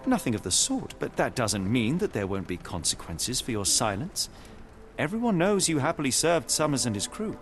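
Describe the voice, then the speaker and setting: An actor playing a shrewd, self-assured British gentleman detective in a video game, speaking formally and probingly. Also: medium pitch, big pitch swings, normal speed. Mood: pressing, stern. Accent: British English. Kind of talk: video game cutscene acting. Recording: music behind the voice.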